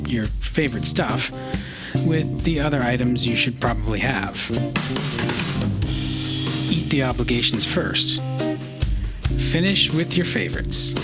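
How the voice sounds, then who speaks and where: A male podcast host with a mid-range voice reads scripted narration. He tells it wryly, with prominent guitar music underneath. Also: mid-range voice. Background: loud plucked guitar music.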